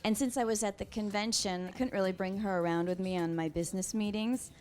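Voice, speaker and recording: Soft-spoken woman guest, thoughtful and unhurried. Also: mid-range voice, fairly even intonation. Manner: soft-spoken, thoughtful, with frequent pauses and ums. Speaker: interview guest. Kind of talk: casual interview answers.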